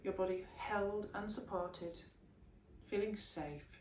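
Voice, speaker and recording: A woman teaching a yoga class, giving slow, soothing guidance through stretches and a guided relaxation. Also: medium pitch, darkish tone. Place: room, recorded at a distance from the camera mic. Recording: echoey room, mic at a distance, faint hiss.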